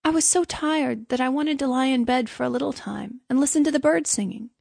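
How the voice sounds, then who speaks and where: A woman's voice: high voice, brisk, gravelly, a little mellow, husky, dry recording, clean studio sound, voice pushed up, exaggerated voice. A woman voicing a naive, good-hearted little man in a storybook, earnest, apologetic and eager to please. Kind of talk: storybook character voice.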